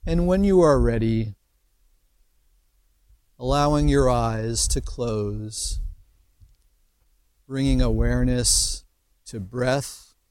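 A man leads a guided imagery meditation in a mid-pitched voice. His delivery is extremely slow and measured, with pauses between short phrases. The sound is dry, with little room around it. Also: a little mellow, no room sound. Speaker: meditation teacher.

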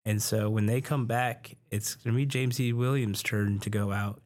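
Male guest, low pitch, halting and informal, restarts a lot. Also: steady tone, darkish tone. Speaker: ship maintenance guest. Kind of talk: casual interview answers.

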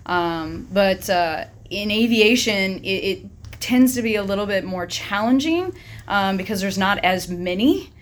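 A middle-aged woman army battalion commander answering interview questions in a measured, composed way. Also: medium pitch, expressive.

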